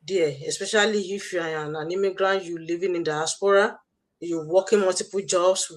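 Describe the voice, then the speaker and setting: A woman with a Nigerian accent hosting a motivational livestream, exhorting listeners and repeating her phrases. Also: on the low side. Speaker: host, online business mentor.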